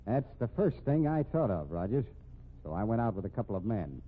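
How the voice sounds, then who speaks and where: An actor in a 1950s radio drama playing a gruff, exasperated police captain with a slangy, needling, sardonic manner. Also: male voice, on the low side, sing-song, brisk. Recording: tinny, noisy old radio recording.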